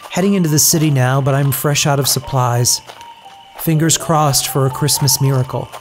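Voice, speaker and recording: A man's voice: medium pitch, steady tone, normal speed, over music, natural voice. A man playing a put-upon young survivor narrating his journal in a scripted audio drama. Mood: bitter, sardonic.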